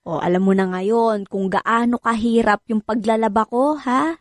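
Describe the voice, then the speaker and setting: A woman's voice: a little high, normal speed, no room sound, clean studio sound, lightly acted. A woman playing an exasperated, reproachful working wife and mother in a radio drama. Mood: matter-of-fact. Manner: scolding, reproachful. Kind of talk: Filipino radio drama acting.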